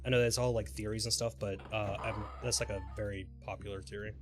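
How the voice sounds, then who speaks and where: A man's voice: on the low side, fairly even intonation, fast talker. Rambling male game streamer over music. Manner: rambling, speculative, informal. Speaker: game livestreamer. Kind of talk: casual live gaming stream chat. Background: background music.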